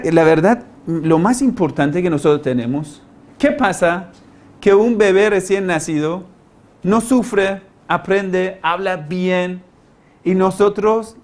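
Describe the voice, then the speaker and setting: A man with a non-native, foreign accent giving an informal lecture as a teacher, didactic and halting, stressing key words and posing rhetorical questions. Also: high-pitched, a little mellow. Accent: foreign, non-native Spanish. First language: not Spanish. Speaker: language-learning teacher, lecturer.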